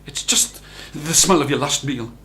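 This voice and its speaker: A man voicing the giant's kindly wife, a fussing woman who talks quickly and scolds her husband. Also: a little high, sharp tone, a little hiss or hum. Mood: scolding.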